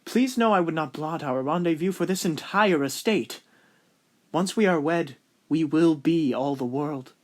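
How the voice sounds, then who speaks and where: A man's voice: on the high side, a little slow, close to an everyday voice. A man playing a courteous, devoted young lieutenant who speaks in formal, flowery phrases in an amateur period audio drama. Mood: tender.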